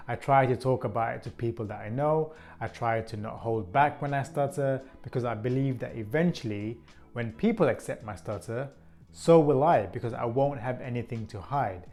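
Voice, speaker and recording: A man in his thirties speaks at a middle pitch, earnest and even, as he hosts his own channel. Now and then a stutter slows a word before he moves on. Soft music plays faintly under his voice. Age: 30s. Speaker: channel host. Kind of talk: scripted vlog talk to camera.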